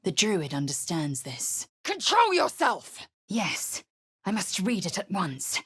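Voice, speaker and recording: A woman's voice: low voice, fairly bright tone, husky, full character voice. An actress voicing a proud, stern githyanki warrior woman from a video game, talking in a clipped, commanding, formal and scornful way. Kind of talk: video game character dialogue.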